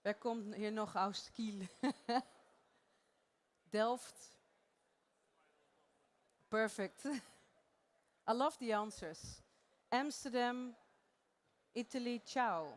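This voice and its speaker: A woman with a Dutch accent gives a live talk in a conference theater. She is playful and chatty and laughs often. Her voice sits a little higher than most women's. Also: very slow, pauses often. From Netherlands. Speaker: conference presenter.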